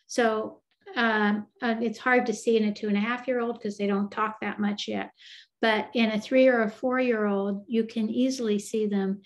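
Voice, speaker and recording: An older woman, a psychologist, answering questions on a video call in a thoughtful, explanatory way with frequent fillers and restarts. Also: medium pitch. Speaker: developmental psychologist guest.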